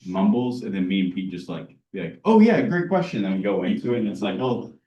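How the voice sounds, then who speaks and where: A man's voice: on the low side, very animated, brisk, dark tone. A man running the event tech, chatting in an easygoing way before a workshop. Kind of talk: casual chat. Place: meeting room or classroom. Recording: roomy echo, mic far off.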